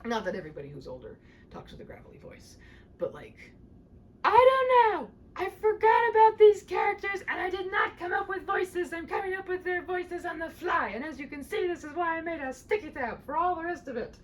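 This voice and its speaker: A woman's voice: very high-pitched, very animated, brisk. A woman reading a story aloud in an amateur, informal way, with chatty, self-deprecating delivery. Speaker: amateur audiobook reader and channel host. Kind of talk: storybook narration with casual asides. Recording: distant mic with faint noise.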